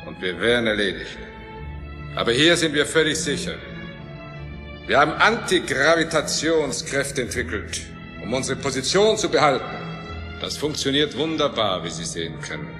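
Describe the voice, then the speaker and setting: An actor playing a grandiose, obsessive middle-aged scientist and starship commander in an audio drama, speaking in a lofty, theatrical, self-assured manner. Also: male voice, medium pitch, very animated, moderate pace, close to an everyday voice. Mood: smugly confident. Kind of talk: dramatic audio play acting. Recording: music behind the voice.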